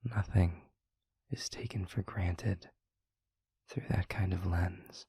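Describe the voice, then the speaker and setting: A tender demon lover acted by a man murmurs very slowly, up close in a dry, intimate audio roleplay. The voice is breathy and very husky, and the phrasing is eloquent and florid, with a wry, devoted temper. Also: low voice, steady tone, warm tone, no room sound, full character voice. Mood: tender, earnest. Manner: slow, florid, deliberate phrasing.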